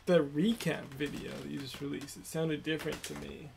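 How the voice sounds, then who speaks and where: A man's voice: on the high side, flat delivery, fairly quick, vocal fry. A young man hosting a livestream, chatting in a rambling, self-deprecating way while playing a game. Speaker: livestream host and voice actor. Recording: noisy livestream audio.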